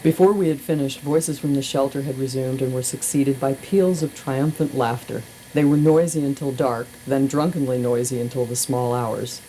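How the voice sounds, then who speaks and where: A woman narrates a humorous memoir in a very low, mellow voice. Her storytelling is expressive, wry and deliberate. It sounds like an amateur cassette recording with faint noise. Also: low-quality recording. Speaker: radio personality reading aloud.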